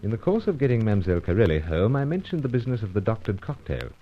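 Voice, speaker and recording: An actor playing an unflappable secret agent in a radio spy adventure, with clipped, understated phrasing. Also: male voice, lowish voice, sing-song, racing. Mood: dry, understated. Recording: dull lo-fi recording.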